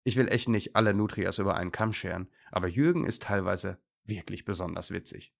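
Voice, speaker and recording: A teasing, amused male brook trout from a children's story, acted out by a man. The voice has a fairly low pitch and a creaky edge. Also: a little fast.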